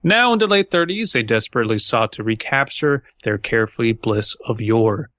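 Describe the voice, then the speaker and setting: A man's voice: medium pitch, lively intonation, normal speed, pushed and bright, no room sound, muffled recording. Male podcast co-host, profane and blunt, casual talk and reading, close dry sound with dulled top end. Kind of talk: casual banter and story reading.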